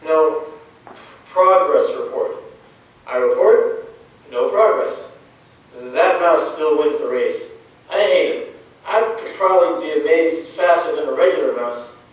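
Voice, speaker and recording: A man's voice: fairly high pitch, lively intonation, a little slow, long pauses. Young man playing a childlike simple man, echoey stage. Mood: earnest reporting. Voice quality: ungrammatical, simple speech. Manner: naive, plain-spoken. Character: childlike, earnest, simple-minded young man, eager to learn. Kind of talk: amateur student stage acting. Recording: echoey stage, distant mic, faint hiss.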